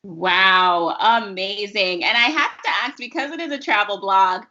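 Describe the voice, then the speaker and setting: Female interviewer, crisp bright tone, conversational delivery, recorded with slightly dulled lo-fi audio. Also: mid-range voice, unhurried. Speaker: talk show host, interviewer.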